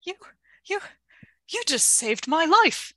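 A woman's voice: very high-pitched, sing-song, slow pace, fairly bright tone, dry recording, studio quality, pitched above the performer's own voice, exaggerated voice. A woman voicing a flustered, polite and earnest female professor in a melodramatic radio drama, with a fretful, hesitant manner.

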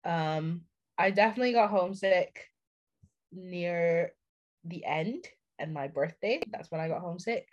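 A woman's voice: lowish voice, a little slow, dull audio. A young woman co-hosting a podcast, chatting casually and telling self-deprecating stories with energy. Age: young adult. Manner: lively, self-deprecating storytelling. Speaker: podcast co-host. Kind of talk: casual chat.

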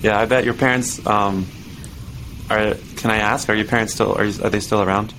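A man's voice: lowish voice, moderate pace, low-quality recording. Laid-back male interviewer with background noise. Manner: relaxed, frequent backchannels, hesitant questions. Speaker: interview host. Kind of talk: casual interview conversation.

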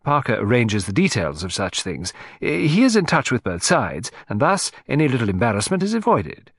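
A man voicing a fussy, excitable society gentleman in an audiobook, with a fluttery, emphatic way of talking. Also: mid-range voice, moderate pace, husky, dry recording, studio quality, exaggerated voice. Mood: fussy explaining.

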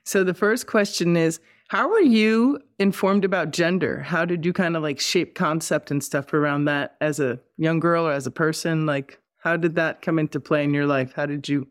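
A woman's voice: low-pitched, normal speed, hardly any pauses. A woman hosting a podcast, talking in an effusive, chatty, enthusiastic way. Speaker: podcast host. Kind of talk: casual podcast chat and intro.

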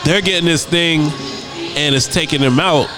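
Man, radio host, casual laid-back chat, repeats himself, slightly high voice, music playing behind. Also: moderate pace. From United States. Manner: laid-back, repeats words while thinking aloud.

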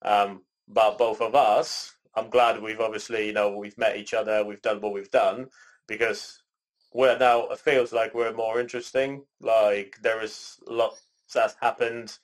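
A man raised in Canada, co-hosting a podcast in relaxed casual chat, rambling with lots of "you know" and "sort of.". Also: lowish voice, unhurried, poor audio. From born in Poland, raised in Canada. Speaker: podcast co-host.

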